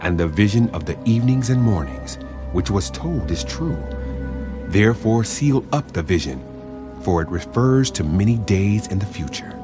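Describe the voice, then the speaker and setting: A man's voice: on the low side, lively intonation, normal speed, over music, natural voice. A man playing a solemn, commanding angel revealing a prophecy in a dramatised scripture audiobook, speaking in a grave, declamatory manner. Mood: grave, forceful.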